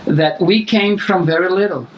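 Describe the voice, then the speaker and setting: Reflective man, slow pauses. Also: high-pitched, a little hiss or hum, low-quality recording. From Brazil. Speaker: life coach, podcast co-host. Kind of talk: casual podcast conversation. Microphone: on camera.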